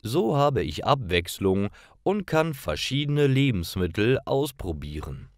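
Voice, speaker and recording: A man reading scripted stories for language learners as a narrator, in a careful, deliberate way. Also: mid-range voice, big pitch swings, dry recording, studio quality.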